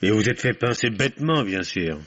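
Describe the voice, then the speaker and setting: An actor playing a sardonic middle-aged police commissioner in a French radio mystery, with a dry, ironic delivery. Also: male voice, lowish voice, animated, normal speed, a bit bright. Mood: ironic, amused. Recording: muffled vintage radio recording.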